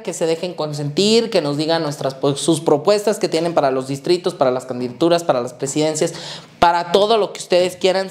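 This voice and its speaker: Chatty young male studio host. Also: a little high. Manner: chatty, thanks guests at length. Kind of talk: casual studio talk to camera. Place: small indoor studio set, seated.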